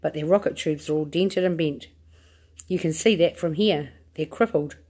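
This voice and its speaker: A woman voicing an eccentric, excitable male inventor in a read-aloud storybook, close to her own voice. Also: deep voice, moderate pace, breathy edge. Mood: confident.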